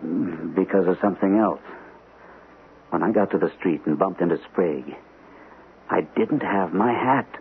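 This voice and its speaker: An actor playing an earnest captain confiding a troubling story, speaking deliberately in vintage radio drama style. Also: male voice, low-pitched. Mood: earnest, confessional. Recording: lo-fi old radio recording, faint hiss.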